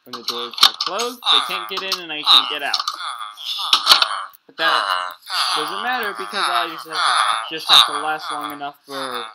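A man's voice: a little high, expressive, moderate pace. A man improvising in-character narration for a game roleplay, talking to himself in explanatory fragments. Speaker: player in a Minecraft roleplay series. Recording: clearly noisy amateur game recording with clicks.

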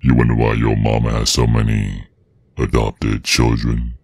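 A man voicing a menacing, protective werewolf father in an ASMR roleplay, with a Southern US accent and a very deep, gravelly, husky voice, drawling out taunts. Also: completely flat delivery, a little slow, a little mellow, no echo, full character voice. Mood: sadistic menace.

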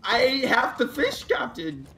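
A man's voice: very high-pitched, wildly swooping pitch, a little slow, crowd noise. A man chatting casually as a crewmate in a multiplayer game, with some playful role-play. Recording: game sounds and noise behind voice. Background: video game sounds.

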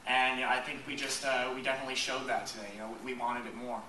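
Young player, pretty flat middle voice, earnest, roomy echo from far off. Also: male voice, mid-range voice, fast, a little hiss or hum. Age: young adult. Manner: earnest, fills with "you know". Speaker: college lacrosse player. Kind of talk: postgame press conference answers. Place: press conference room.

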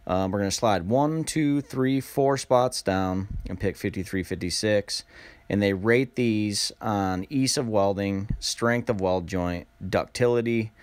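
A man's voice: on the low side, dry recording. A man narrating a step-by-step tutorial in a casual, plain-spoken way. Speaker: instructional narrator.

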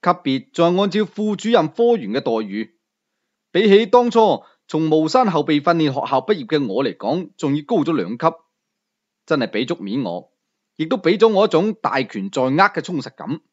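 Cantonese guy telling a novel, really lively up and down pitch, slightly dull recording. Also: normal speed. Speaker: audiobook storyteller. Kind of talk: scripted first-person novel narration.

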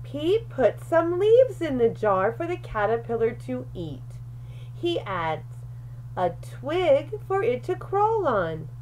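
A woman reading a children's picture book aloud in an expressive, deliberate storyteller's manner. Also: high-pitched, slight background noise, poor audio. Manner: animated, deliberate, with questions and asides to young listeners.

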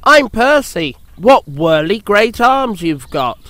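A small, proud steam engine from a children's storybook, acted out by a man. The voice is high and excitable, with indignant emphasis. Music plays behind it. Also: slow, no room sound, exaggerated voice. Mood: curious.

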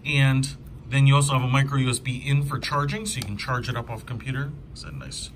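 A man's voice: medium pitch, a little fast, a little hiss or hum. A man giving a casual hands-on product review in an informal, enthusiastic, conversational way.

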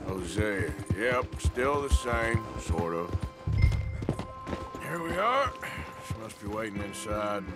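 An actor playing a gruff, protective American outlaw cowboy in a video game, with a dry, sardonic, folksy way of talking. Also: male voice, on the low side, sing-song, slow. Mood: wry. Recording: loud music and horse hooves behind. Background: music, horse hooves.

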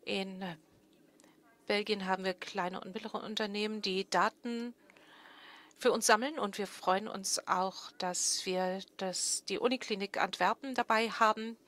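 Bright-voiced woman moderating a conference. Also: mid-range voice, a little slow. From Germany. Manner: steady explanatory, occasional fillers and self-corrections. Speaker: panel moderator and researcher. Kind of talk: conference presentation. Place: large conference stage. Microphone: stage microphone at a lectern.

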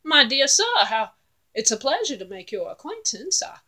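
A woman's voice: sits high, very animated, moderate pace, pushed and bright, voice pushed up, heavily acted. A woman voicing a learned, middle-sized male goat who talks in a formal, long-winded, pompous way.

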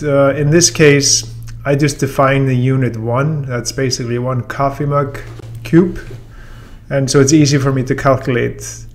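A man in his thirties giving a relaxed software tutorial, talking through the steps with plenty of fillers. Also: medium pitch, creaky. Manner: relaxed and explanatory, with many fillers. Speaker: software trainer. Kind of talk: casual screen-recorded software tutorial. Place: room with a brick wall and whiteboard, then voice-over on screen recording.

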